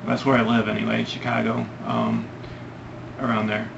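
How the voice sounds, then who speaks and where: Young man, gravelly, lowish voice, hesitant and rambling, dull noisy home recording. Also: big pitch swings, moderate pace. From Ohio. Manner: hesitant, rambling with many ums. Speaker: vlogger answering questions. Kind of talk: casual Q&A vlog.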